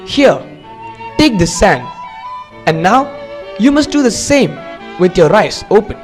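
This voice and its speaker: A woman voicing a clever male courtier who speaks calmly and politely. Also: mid-range voice, slow. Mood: confident. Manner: calm, polite, plainly spoken. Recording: music under the voice.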